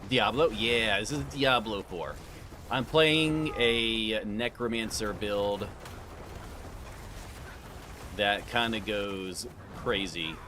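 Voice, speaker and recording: Brief: laid-back man, gamer streaming live, lowish voice, chatty with viewers, steady hiss of noise behind him. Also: slow. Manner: relaxed, chatty, greets viewers by name and calls them sir. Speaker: livestream gamer. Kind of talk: casual live-stream chat while gaming. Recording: clear background noise.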